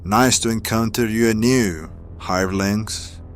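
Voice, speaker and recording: Audio drama: male actor as cool, scheming turncoat with formal phrasing, low and slow, music underneath. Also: close to an everyday voice. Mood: smooth, assured. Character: sly, self-serving male ally turned against former masters.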